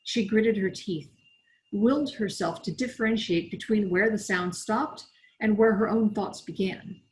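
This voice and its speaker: A woman's voice: mid-range voice, a little slow, low-quality recording. A woman reading as an author, in the style of scripted audiobook narration. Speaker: author reading from own novel.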